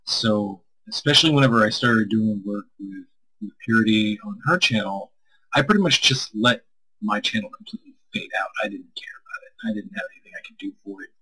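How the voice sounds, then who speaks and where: A man's voice: lowish voice, animated, fairly quick, bright, forceful tone, breathy edge, rough recording. A man streaming as a co-host, chatting casually with viewers in a rambling, self-deprecating way.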